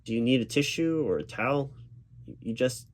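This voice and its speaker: A man doing a spoken ASMR job interview roleplay as a reassuring, gently professional interviewer who speaks a little hesitantly. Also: medium pitch, animated, fairly quick. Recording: clear background noise of keyboard typing. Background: computer keyboard typing.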